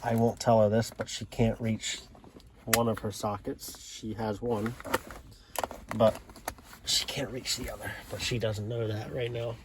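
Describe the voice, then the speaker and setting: American male hobbyist, unhurried, low-key delivery, thinking aloud on camera, faint ambient noise behind him. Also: flat delivery. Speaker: hobbyist showing a CB radio install. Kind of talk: casual unscripted video walkthrough. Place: inside a parked car.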